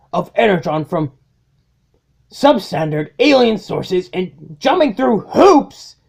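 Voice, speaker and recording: Man acting out slow, gravelly robot warlord. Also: high voice, darkish tone, exaggerated voice. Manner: slow, theatrical, menacing monologue. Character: domineering robot warlord, male, coldly mocking his underling. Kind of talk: amateur comic dub acting.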